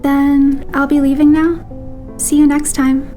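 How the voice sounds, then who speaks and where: Casting: earnest young game heroine, high-pitched and very soft-toned, sincere, light music underneath. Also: female voice, little pitch movement, measured pace. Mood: friendly, tentative.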